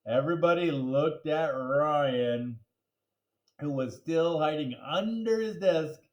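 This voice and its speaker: A man's voice: on the high side, lively intonation, takes it slowly, highs cut off. A man reading a children's chapter book aloud, with playful, jokey asides to his listeners. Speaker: reader.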